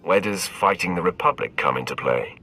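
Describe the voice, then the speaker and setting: A man's voice: deep voice, lively intonation, normal speed, sharp tone, faint music behind, exaggerated voice. A man playing an arrogant, menacing young Sith warrior in a video game, curt and haughty. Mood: cold, haughty. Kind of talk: video game cutscene acting.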